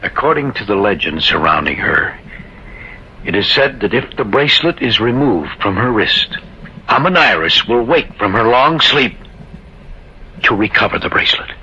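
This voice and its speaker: A man's voice: fairly low pitch, big pitch swings, normal speed, pushed and bright, breathy edge, heavily acted. An actor playing a grave, insistent man who believes in an ancient curse, in earnest, ominous radio horror style. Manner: earnest, ominous, dramatic delivery. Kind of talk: old-time radio horror acting. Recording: dull, hissy old radio recording.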